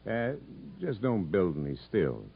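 Deep-voiced, gruff prison captain. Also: male voice, big pitch swings, a little slow, a little hiss or hum, full character voice. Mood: wry, fair. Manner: brusque, dry, commanding. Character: gruff, fair-minded male prison captain, wryly authoritative. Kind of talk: 1950s radio drama acting. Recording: muffled 1950s radio broadcast with music.

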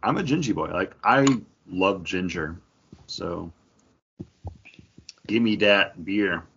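Man, husky low-leaning voice, American accent, casual podcast banter. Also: expressive, slow pace, fairly bright tone. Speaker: podcast co-host. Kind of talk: casual chat. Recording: dull lo-fi voice recording.

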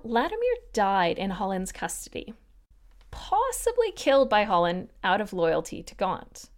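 A woman hosting a history podcast, reading scripted narration in an explanatory way with casual, lightly wry asides. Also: mid-range voice.

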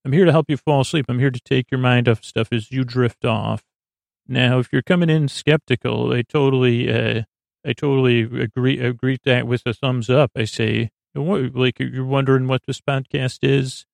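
A man with a creaky voice hosting a podcast, rambling through a bedtime monologue meant to lull listeners to sleep, halting and stammering as he drifts into tangents. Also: medium pitch, steady tone, no room sound. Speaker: podcast host.

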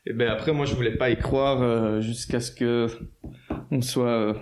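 A man's voice: mid-range voice, fairly even intonation, normal speed, a little mellow. A man, chatting casually as an interview guest, loose and slangy with lots of fillers and restarts. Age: 20s. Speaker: guest musician.

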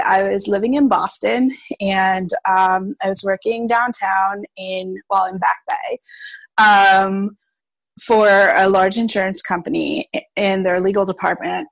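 Woman from south africa chatting away, lots of ums, kinda gravelly voice, dry close sound. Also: mid-range voice, no echo, low-quality recording. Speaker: farmer and innkeeper. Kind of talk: casual interview storytelling.

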